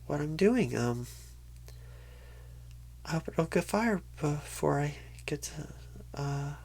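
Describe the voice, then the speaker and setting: A woman playing a nervous, inexperienced job recruiter in an ASMR roleplay, halting, stammering and apologetic. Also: deep voice, expressive, a little mellow, exaggerated voice. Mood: bashful, awkward. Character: painfully shy, nervous, inexperienced job recruiter on first day. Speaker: job interviewer. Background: computer keyboard typing.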